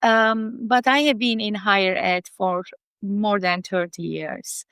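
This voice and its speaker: A woman's voice: medium pitch, steady tone, takes it slowly, a bit bright, clear, resonant tone, no room sound. A woman in her fifties or older with a Turkish accent, answering interview questions as a college dean. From Turkey. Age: 50s or older.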